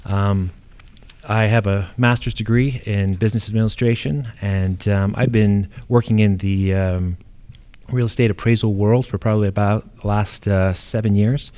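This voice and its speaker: A middle-aged British man introduces himself as a political candidate. His voice is low and very mellow, and he speaks haltingly, with frequent hesitations and repeated words. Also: steady tone. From United Kingdom. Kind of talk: candidate introduction speech at a public forum.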